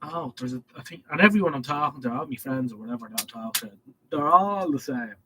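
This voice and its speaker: A man's voice: medium pitch, animated, fast talker, background noise. A man with an Irish accent and a head cold, hosting a casual live stream and reading out viewer comments in a rambling way. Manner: rambling, reads chat messages aloud.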